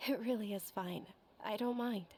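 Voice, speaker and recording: An actress voicing a frail, sickly young noblewoman who speaks softly and with quiet resignation. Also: medium pitch, moderate pace, a little hiss or hum, lightly acted. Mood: wistful. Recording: game audio with music behind.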